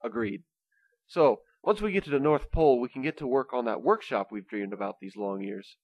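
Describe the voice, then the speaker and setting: A man's voice: mid-range voice, a little fast, gravelly, dry recording, highs cut off. A man playing a kindly, patient Saint Nicholas who reasons earnestly, in an amateur comedic radio play.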